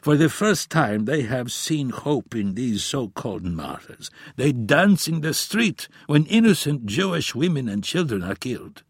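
A man voicing a frail elderly male professor in an audiobook, with a raspy, slightly unsteady voice and a slow, grave, weary way of speaking. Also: medium pitch, big pitch swings, dry recording, studio quality, pitched above the performer's own voice, full character voice. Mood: impassioned, grave. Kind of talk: audiobook character voice.

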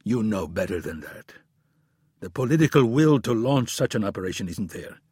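A man voicing a heavyset, embattled older prime minister who shouts in a raised, strained pitch. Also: very animated, normal speed, husky, no echo, clean studio sound, full character voice. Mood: weary.

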